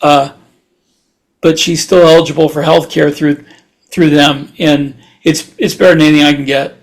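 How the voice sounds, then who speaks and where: Higher-voiced man in casual chat. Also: flat delivery, moderate pace. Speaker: podcast co-host.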